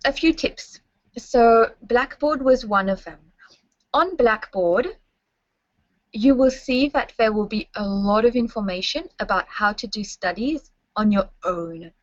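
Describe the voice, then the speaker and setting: A young woman guides students through a webinar, friendly and instructive, often checking they follow along. The sound is dry with no room echo, and slightly muffled and dull. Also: medium pitch. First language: French. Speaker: university staff presenter. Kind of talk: webinar presentation.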